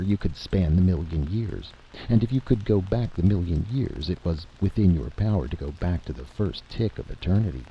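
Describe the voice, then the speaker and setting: A male narrator reads fiction dramatically in a very low, gravelly voice. His pitch moves expressively, and the recording is muffled and noisy. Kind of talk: scripted story narration, dramatic. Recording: dull lo-fi recording with noise.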